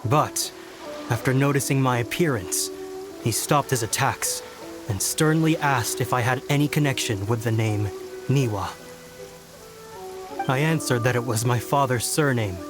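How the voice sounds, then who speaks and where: A solemn samurai, acted by a man, recounts a dark secret in a mid-pitched voice. His delivery is deliberate, formal and dramatic, with game music clearly behind him. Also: natural voice. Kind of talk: dramatic narrated letter, video game.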